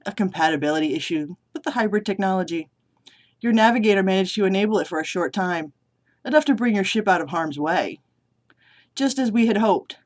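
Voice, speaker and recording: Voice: medium pitch, natural voice. A vlogger reading aloud and voicing an older male military commander who is smug and manipulative. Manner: raised, louder and more forceful than own talk. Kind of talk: reading a story scene aloud.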